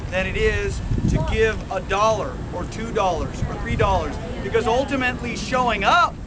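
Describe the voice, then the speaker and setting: A man speaking to a crowd outdoors without a megaphone, projecting a big voice with slow emphatic phrasing. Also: high-pitched. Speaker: band member addressing a charity walk crowd. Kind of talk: outdoor motivational speech. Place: outdoors at a university campus crowd. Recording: handheld camera outdoors, loud background noise.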